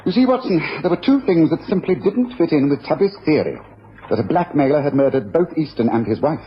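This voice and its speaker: Brisk, self-assured male detective, acted by a man, period radio drama, lively intonation, dull recording. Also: fairly high pitch, natural voice. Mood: explanatory, satisfied. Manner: decisive, declarative, explanatory. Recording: dull old radio recording, faint hiss.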